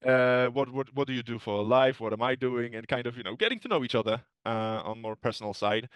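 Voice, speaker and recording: A man as a guest in a relaxed interview. He talks candidly and thoughtfully, laughing easily. His voice is smooth and resonant, and the sound is dry and close. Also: mid-range voice, brisk, no room sound. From Poland. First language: Polish. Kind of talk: casual conversation.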